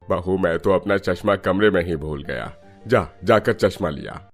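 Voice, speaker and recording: Man playing a deep-voiced older family father. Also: moderate pace, soft background music. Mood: requesting. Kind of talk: Hindi cartoon story voice acting.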